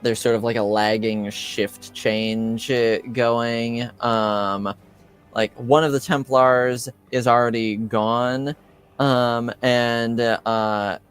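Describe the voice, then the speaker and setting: Male game master, mid-pitched and unhurried, improvising haltingly, music underneath. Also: steady tone, clean tone. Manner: halting, searching for words. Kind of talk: improvised narration of a tabletop game.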